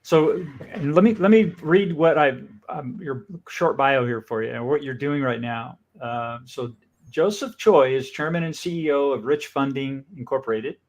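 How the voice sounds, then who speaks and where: A man's voice: mid-range voice, moderate pace. A man hosting a business talk show, interviewing a guest in an upbeat, friendly way with enthusiastic interjections.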